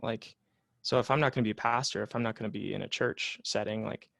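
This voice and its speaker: A man in his late twenties talks things through in a relaxed interview, circling back with fillers and restarts. His voice carries a gravelly fry. The sound is dry and close, with no room around it. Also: on the low side, fast, no echo. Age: 20s. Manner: reflective, many fillers and restarts. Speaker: psychotherapist guest. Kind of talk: casual conversational interview.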